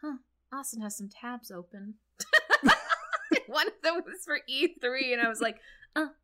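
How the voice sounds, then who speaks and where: A woman's voice: sits high, sing-song, unhurried, pushed and bright. A woman co-hosting a podcast, chatting casually in a playful, wry way. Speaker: podcast co-host. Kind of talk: casual banter.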